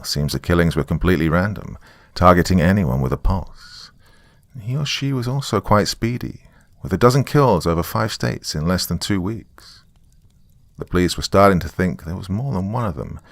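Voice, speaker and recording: A man's voice: deep voice, creaky, no room sound. A man playing a wry, jaded, middle-aged divorced small-town guy, telling a horror story conversationally in the first person with dry sarcasm. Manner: sardonic, dry, confiding.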